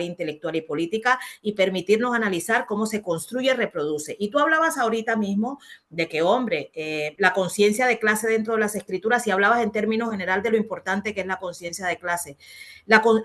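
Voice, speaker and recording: A woman's voice: mid-range voice, fairly bright tone. Firm woman speaker on a video call. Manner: firm, assertive, direct address. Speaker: guest speaker. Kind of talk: lecturing reply in a discussion.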